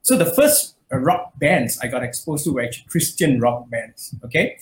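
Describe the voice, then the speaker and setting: A man's voice: mid-range voice, very animated, moderate pace. A man from Malaysia, a metal musician, chatting casually in an interview, rambling with lots of fillers and restarts. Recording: echoey room, faint noise.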